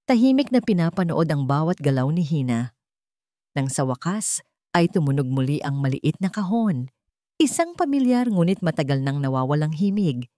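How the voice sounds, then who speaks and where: Lower-pitched woman narrating a dramatic story. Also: dark tone, no echo, clean studio sound. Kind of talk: scripted dramatic romance narration.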